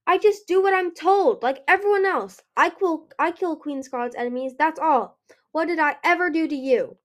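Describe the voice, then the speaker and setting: A high, questioning young dragon warrior from a fantasy storybook, played by a woman reader. She sounds plaintive and puzzled, always ready to protest. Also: animated, moderate pace, darkish tone. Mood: indignant protest.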